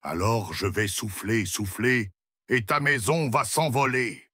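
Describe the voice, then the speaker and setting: A man voicing a hungry, menacing yet goofy wolf in a children's cartoon tale, with drawn-out theatrical delivery. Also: on the low side, bright, forceful tone, slightly rough, no echo, studio quality, pitched above the performer's own voice, heavily acted. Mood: threatening.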